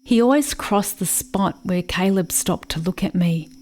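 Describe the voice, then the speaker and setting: An actress in a radio play playing a grieving, watchful country sister, narrating in a reflective, measured way. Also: female voice, lowish voice, background noise, lightly acted. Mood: wistful.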